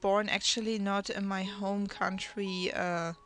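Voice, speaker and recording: Young woman, Russian native, halting voice-over narration, mid pitch, music bed behind. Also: level tone, a bit bright. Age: teens. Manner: hesitant, many restarts and fillers. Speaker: channel host. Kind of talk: draw my life voice-over narration.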